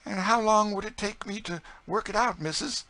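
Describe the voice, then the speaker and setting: Man voicing an excitable, high-pitched cook woman. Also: moderate pace. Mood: delighted. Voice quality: folksy dialect speech with dropped sounds. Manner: exclamatory, emphatic. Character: eager, warm enslaved woman cook, motherly, excitable. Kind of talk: audiobook character dialogue.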